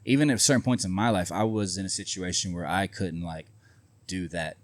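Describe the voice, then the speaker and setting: A man with a very low voice talks bluntly and swears often, drifting through his thoughts with plenty of fillers. The sound is dry and close, with no room around it. He sounds like a guest in a loose, casual interview. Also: brisk, no room sound. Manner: blunt, profane, rambling with many fillers. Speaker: independent wrestler, guest.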